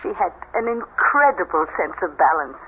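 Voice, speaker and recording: A woman's voice: fairly low pitch, very animated, normal speed. An actress playing a grieving, bitter middle-aged mother in an old radio drama, mournful and wistful. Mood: bitter, insistent. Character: grieving, bitter mother kept shut away upstairs. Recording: noisy, phone-like old radio recording.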